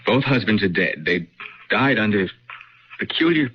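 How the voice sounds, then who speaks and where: Man acting an evasive, animated radio-drama husband. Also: fairly low pitch, moderate pace, lightly acted. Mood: reluctant, uneasy. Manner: hesitant, evasive, dismissive. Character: evasive, defensive husband soothing his suspicious wife. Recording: dull lo-fi radio broadcast with noise.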